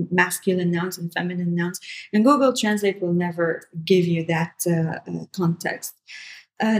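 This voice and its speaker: Conversational woman, native Arabic speaker. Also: on the low side. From Syria. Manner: informal, frequent "like" asides. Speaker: podcast guest, content agency founder. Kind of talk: conversational interview, giving advice.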